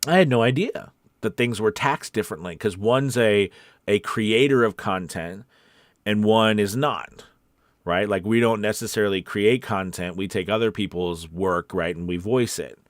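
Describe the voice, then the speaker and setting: Deep-voiced American man hosting a livestream. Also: normal speed, no echo, studio quality. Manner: emphatic, repeats key points for encouragement. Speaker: host of a live stream coaching voice actors. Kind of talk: casual livestream chat reading out viewer comments.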